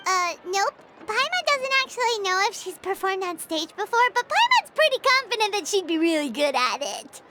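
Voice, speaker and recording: A woman voicing a small, perky, childlike fairy companion in a video game, squeaky, bubbly and cheeky. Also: very animated, moderate pace, hardly any pauses, heavy background noise. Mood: thoughtful, confident. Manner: bubbly, refers to herself by name.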